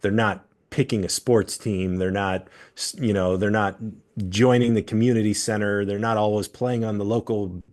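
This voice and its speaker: A man hosting a podcast, explaining his views at length in a measured, steady way. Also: on the low side. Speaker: podcast host. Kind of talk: casual political discussion.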